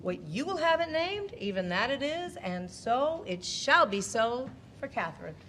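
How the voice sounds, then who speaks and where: An actress playing a spirited wife in a Shakespearean comedy audio play, with theatrical classical phrasing. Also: female voice, on the high side, big pitch swings, a little slow, fairly bright tone. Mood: exaggerated submission. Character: spirited wife, contrary then mockingly obedient. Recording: noticeable background noise.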